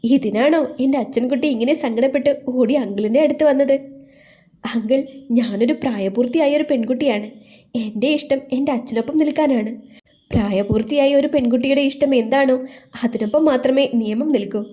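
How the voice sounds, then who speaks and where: Lady doing a young daughter, fierce and loving, highish mellow voice, earnest. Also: a little fast, lightly acted. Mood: defiant. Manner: earnest, emotional pleading.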